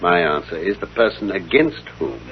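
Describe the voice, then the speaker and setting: An actor playing a brisk, confident, analytical detective in an old-time radio drama, speaking precisely and with authority. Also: male voice, low voice, expressive. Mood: intent, analytical. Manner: precise, clipped, authoritative deduction. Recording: dull vintage radio recording.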